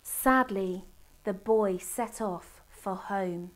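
A middle-aged woman reading a children's storybook aloud expressively, with deliberate dramatic pauses. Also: medium pitch. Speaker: storybook reader. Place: indoor room by a Christmas tree. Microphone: camera a short distance away.

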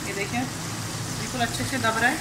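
A woman with a Pakistani Urdu accent, calmly talking through a cooking demonstration step by step in her home kitchen. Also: a little high, moderate pace. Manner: calm step-by-step explaining. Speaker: cooking channel host. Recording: echoey kitchen with sizzling noise.

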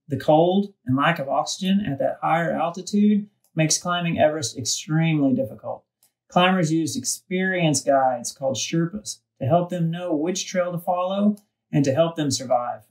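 Gravelly man reading, off the mic. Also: on the high side, moderate pace, recorded from a distance, low-quality recording. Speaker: kids' devotional reader. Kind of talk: scripted devotional reading and prayer for children.

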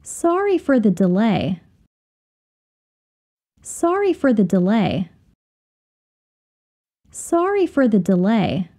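A woman reading example sentences slowly and carefully, repeating each phrase for learners to copy. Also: fairly high pitch, sing-song, warm tone. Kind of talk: slow, clear drill reading of example phrases.

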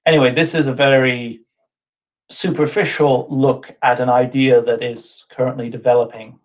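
A man's voice: mid-range voice, flat delivery, moderate pace. Man on a muffled video call. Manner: thinking aloud, with restarts and fillers. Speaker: invited speaker. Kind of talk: online talk then Q&A answers. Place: indoor room with whiteboard. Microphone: webcam on a video call. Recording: dull lo-fi video call audio.

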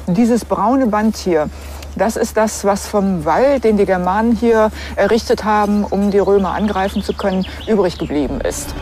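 A woman archaeologist talks through what can be seen on site. Her voice sits at an average pitch, with an explanatory manner. There is faint ambient noise behind her. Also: moderate pace, darkish tone. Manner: explanatory, pointing things out. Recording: faint outdoor background noise.

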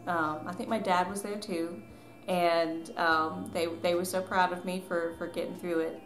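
A woman in her thirties talks to camera in a casual, chatty way. Her voice sits a little low, and she tells personal stories with a self-deprecating touch. The room is echoey, with some faint noise behind her. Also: flat delivery, moderate pace. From southern US, Tennessee and Georgia. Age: 30s. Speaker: author and vlogger. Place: small living room at home, indoors.

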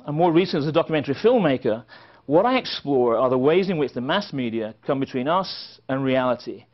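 Wry man telling stories from a podium. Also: medium pitch. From England. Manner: wry storytelling with dry asides. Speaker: conference speaker. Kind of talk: keynote speech at a podium. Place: conference hall with a seated audience. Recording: dull, lo-fi sound.

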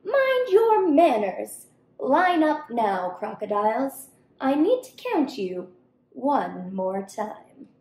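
A woman's voice: sits high, sing-song, slow pace, a little mellow, a little hiss or hum, full character voice. A woman voicing a clever little female monkey from a storybook, sly and bossy, with theatrical emphasis. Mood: scolding. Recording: roomy indoor echo.

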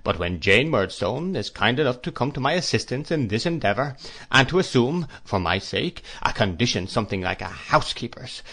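A man voicing a cold, arrogant, severe husband with a pompous, measured and commanding way of speaking, in a classic novel reading. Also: mid-range voice, lively intonation, sharp tone. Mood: icy reproach. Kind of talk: classic novel read aloud.

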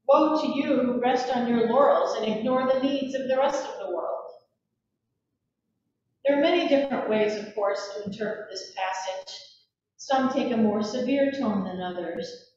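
An older woman preacher giving a sermon from a church pulpit in measured, deliberate phrases. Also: fairly high pitch, darkish tone. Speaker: Episcopal preacher. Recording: echoey church from far off, muffled, noisy.

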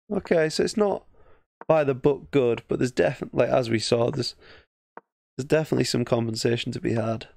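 A man's voice: mid-range voice, vocal fry, darkish tone, dry recording, clean studio sound. A man with an English accent giving casual, thinking-aloud game commentary as a chess streamer, often muttering half-finished thoughts. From England.

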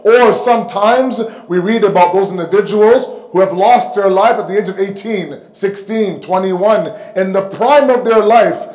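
A man delivers a religious lecture in a rather high voice. He speaks emphatically, repeating phrases for weight and posing rhetorical questions. The sound is noticeably echoey, picked up on a microphone in a hall. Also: normal speed, few pauses, darkish tone. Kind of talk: sermon lecture. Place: indoor mosque hall, seated at the pulpit. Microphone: microphone on a stand close in front.

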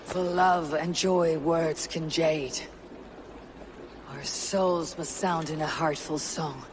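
An actress playing a Viking woman warrior and clan leader, speaking in a measured, earnest way to her people. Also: sits low, fairly even intonation, background noise.

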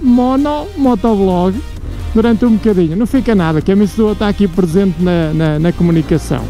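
A man's voice: sits high, brisk, dark tone, soft background music. A man with a European Portuguese accent giving casual vlog commentary as host while riding a motorcycle, speaking from inside his helmet. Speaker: motovlog host.

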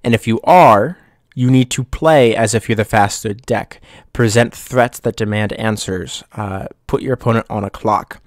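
A young man hosting his channel, giving relaxed gameplay commentary, his voice a bit off from getting over the flu, thinking aloud with pauses and restarts. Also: lowish voice, no echo, clean studio sound. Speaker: YouTube channel host. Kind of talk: casual gameplay commentary over screen recording.

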